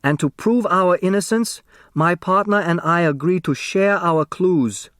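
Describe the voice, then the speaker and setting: A man's voice: high voice, unhurried, fairly bright tone, no room sound, clean studio sound, pitched above the performer's own voice. A man voicing an indignant, blustering male restaurant owner in an audiobook, blunt and defensive. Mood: defensive, insistent.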